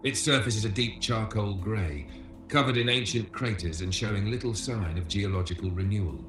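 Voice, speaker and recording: A man giving scripted educational documentary narration as a tour guide, in a measured, solemn manner. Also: fairly low pitch, a bit bright, roomy sound. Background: music.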